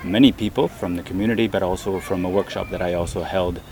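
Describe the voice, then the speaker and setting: A man speaking as a theatre director in a casual interview, hesitant and often pausing mid-sentence. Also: deep voice, steady tone, noisy background. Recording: crowd chatter behind the voice. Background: crowd of people.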